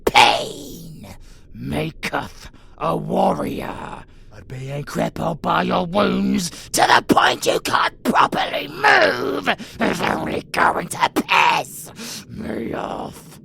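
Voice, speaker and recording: Casting: predatory, possessive vampire monster for audio roleplay; thick gravel, animated swings from whisper to roar, theatrical menace. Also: male voice, high voice, extremely slow, a little hiss or hum, full character voice. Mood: impatient. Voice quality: gravelly, growling, breathy whispers.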